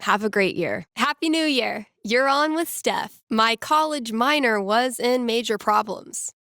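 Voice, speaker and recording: An actress playing a wry, playful young radio DJ who tells fortunes to callers in a conversational, mock-solemn way in a video game. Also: female voice, a little high, lively intonation, measured pace, pushed and bright, no room sound, clean studio sound, full character voice. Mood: deadpan, teasing, then bright, playful. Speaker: radio host. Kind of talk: video game dialogue as late-night radio call-in show.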